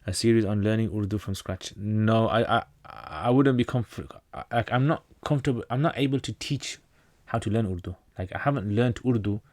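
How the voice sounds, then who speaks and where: Low-voiced man from North Pakistan. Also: normal speed, hardly any pauses, a little mellow, no room sound. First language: Pashto. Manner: reads out questions then answers plainly, with frequent restarts. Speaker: channel host answering viewer questions. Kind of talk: casual livestream Q&A.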